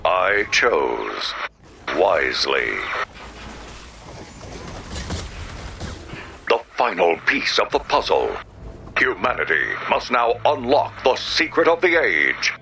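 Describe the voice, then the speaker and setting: An actor playing an eager robot who talks like a grandiose, theatrical movie-trailer announcer in a game. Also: male voice, low-pitched, huge pitch swings, slow pace, vocal fry, sharp tone, faint music behind, heavily acted. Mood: grandly dramatic.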